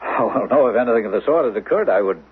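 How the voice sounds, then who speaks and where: An actor playing an uneasy, compliant doctor in a dystopian radio drama, hesitant and deferential, stammering a little. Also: male voice, mid-range voice, lively intonation, racing, slightly rough. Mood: nervous, guarded. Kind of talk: 1970s radio drama acting. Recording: muffled old radio broadcast.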